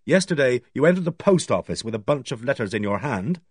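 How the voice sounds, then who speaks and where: A man voicing a quiet, formal and firm police officer in an audiobook. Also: mid-range voice, very animated, husky, no room sound, studio quality. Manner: measured, formal, polite. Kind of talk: audiobook character voice.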